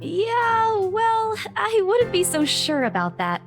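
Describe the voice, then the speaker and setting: A jittery, cautious young woman for a horror visual novel, high-pitched and swinging up and down as she frets about curses. She speaks nervously and earnestly. Game music plays underneath. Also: huge pitch swings, slow pace, full character voice. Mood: uneasy.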